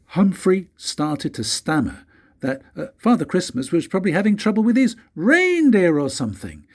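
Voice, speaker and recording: An older man reads a children's story like a grandfather, in an expressive, deliberate way with dramatic pauses and a husky voice. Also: sits high. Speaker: grandfather reading stories for his grandchildren.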